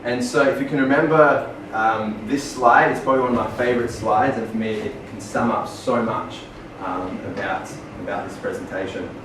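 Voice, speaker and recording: A man's voice: on the low side, steady tone, normal speed, hardly any pauses. Australian man giving a talk, echoey. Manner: earnest, with many ums and restarts. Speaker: speaker. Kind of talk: public presentation. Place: small venue, far from the mic. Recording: echoey room from far off, noisy.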